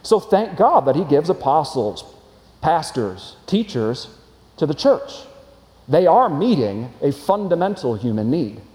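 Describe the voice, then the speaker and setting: A man preaches to his congregation from the front of a church, his voice carrying with a long hall reverb. He speaks a little high for a man but with a warm, mellow tone. His delivery is earnest, steady and explanatory, with rhetorical questions to the room. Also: unhurried. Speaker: pastor. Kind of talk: sermon.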